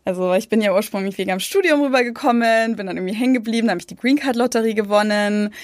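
A woman's voice: medium pitch, rapid-fire, no echo, clean studio sound. A young woman from Germany, a content creator, chatting casually in an interview with many fillers. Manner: chatty, many fillers, mimics others in quotes.

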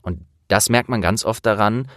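A man's voice: on the low side, normal speed, a bit bright, no echo, studio quality. A young man from the Rhineland in Germany, an actor hosting a casual interview, explaining things at length in a searching way.

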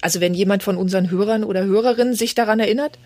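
A woman co-hosting a podcast, agreeable and quick with short replies of agreement. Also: medium pitch, darkish tone, dry recording. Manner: agreeable, many backchannels. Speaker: podcast co-host. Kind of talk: casual academic chat.

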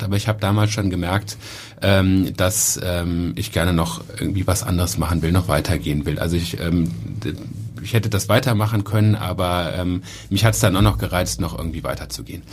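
A man talking calmly about his training and career as an architect, with frequent fillers. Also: low voice, brisk, slight background noise. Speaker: architect and festival co-organizer. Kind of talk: casual podcast conversation.

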